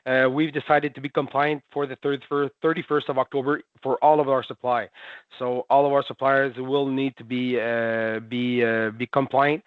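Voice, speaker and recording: A man, sick with a cold, presenting haltingly in a webinar with many fillers and restarts. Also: mid-range voice, no echo. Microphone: video call. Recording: tinny phone-like line.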